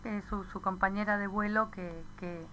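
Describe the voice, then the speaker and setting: A woman with an Argentine accent talks casually on the radio in a bright, forceful voice. She gropes toward her point and often turns it into a question. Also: mid-range voice, flat delivery, moderate pace. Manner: searching, questioning, seeks agreement.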